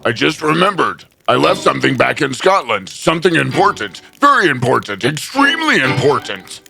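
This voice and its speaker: A man acting a huge storybook giant who has lost his nerve. His voice is gravelly and swings widely as he stammers with nervous courtesy. Music runs underneath. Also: medium pitch, big pitch swings, normal speed, bright, forceful tone, exaggerated voice. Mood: flustered, backing out. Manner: hesitant, flustered, stammering. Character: big rival Scottish giant, intimidated and nervously polite. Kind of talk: storybook audio drama.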